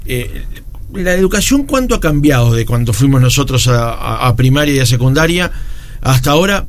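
A man's voice: medium pitch, very animated, moderate pace. A man in his forties with a Uruguayan accent, putting questions as an interviewer.

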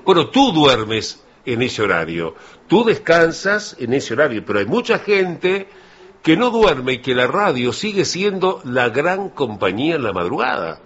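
Uruguayan man, late-night radio host, husky voice, lively pitch, muffled broadcast. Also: a little slow. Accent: Uruguayan Spanish. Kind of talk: casual radio chat. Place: radio studio.

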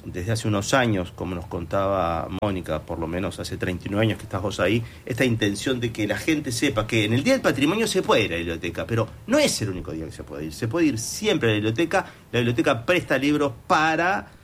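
A man's voice: lowish voice, lively intonation, quick pace, few pauses. A man hosting a casual radio interview, talking in long rambling runs with fillers. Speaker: radio host. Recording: faint background noise.

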